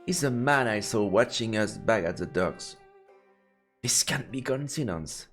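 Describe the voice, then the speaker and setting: Male game streamer, lively intonation, reading story text aloud, faint music behind. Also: on the low side, a little slow. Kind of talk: let's play commentary and reading narration aloud.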